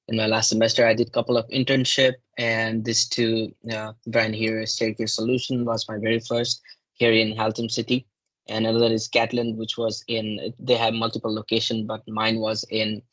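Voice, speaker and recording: Flat-voiced young man from Nepal. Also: lowish voice, dry recording, rough recording. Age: young adult. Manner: hesitant, many fillers and restarts. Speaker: guest speaker. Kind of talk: presentation talk.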